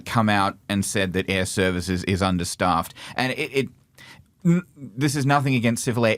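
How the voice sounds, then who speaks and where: A man co-hosting an aviation podcast, calmly relaying what sources have said. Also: on the low side, no room sound. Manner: relays reported quotes in measured, explanatory tone. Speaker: podcast host. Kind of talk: casual news discussion.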